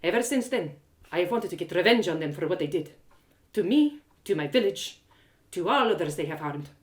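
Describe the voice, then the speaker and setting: Woman playing a chatty, knowing former thief, lively pitch. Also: fairly quick, lightly acted. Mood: rueful. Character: frank, inquisitive former woman thief, hardened village storyteller.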